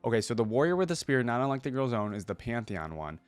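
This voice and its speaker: A man's voice: medium pitch, very animated, fast, clear, resonant tone, no room sound. A man hosting a reaction video, reading a story aloud and breaking off to muse and explain in a casual way.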